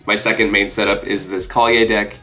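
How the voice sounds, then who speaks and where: A man in his twenties talks casually to camera in a somewhat low voice, stuffed up from a cold. He sounds like a video creator showing off a collection, with guitar music playing behind him. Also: little pitch movement, a little fast, bright, forceful tone. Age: 20s. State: congested with a cold. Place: small furnished room indoors.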